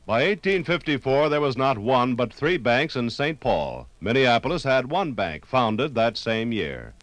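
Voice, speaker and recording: Male narrator, medium pitch, expressive range, solemn vintage radio storytelling. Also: unhurried, no room sound. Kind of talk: dramatic scripted 1950s radio narration. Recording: 1950s vinyl radio recording, music underneath.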